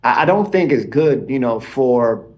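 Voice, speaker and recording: A man in his forties talks in a loose, unhurried ramble. He keeps dropping "man" and "you know" between thoughts, and his voice sits at an ordinary middle pitch. The sound comes over a remote video call. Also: animated, lo-fi sound. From Israeli and Iranian background. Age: 40s. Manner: laid-back, fills speech with "man" and "you know". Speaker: podcast guest. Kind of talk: casual conversation. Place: home in Germany, remote call.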